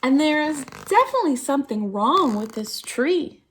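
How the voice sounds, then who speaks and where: A woman reading a children's picture book aloud as a storytime host, expressively, with theatrical, drawn-out emphasis on key words. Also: high-pitched, big pitch swings, slight background noise. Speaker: storytime reader at a dance centre.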